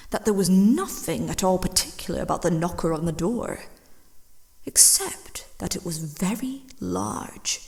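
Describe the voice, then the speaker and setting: Expressive woman, slow dramatic storytelling. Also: mid-range voice. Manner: theatrical, deliberate, dropping into hushed breathy phrases. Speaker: audiobook reader and voice actress. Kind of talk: dramatic scripted narration of classic fiction.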